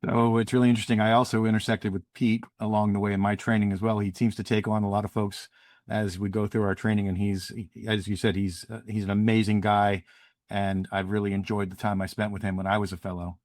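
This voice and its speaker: A man's voice: fairly low pitch, level tone, quick pace, a little mellow, no room sound, clean studio sound. A man hosting a podcast, introducing a guest in a friendly manner and then asking questions. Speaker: podcast host.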